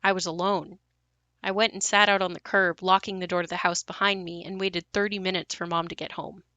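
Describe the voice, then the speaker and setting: A woman's voice: on the low side, little pitch movement, brisk, pushed and bright, no room sound. An actress playing a young woman who recalls her haunted family home in a confiding, wry, matter-of-fact monologue for an audio play. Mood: wry, reflective. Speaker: narrator and lead of an audio play. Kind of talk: first-person dramatic monologue.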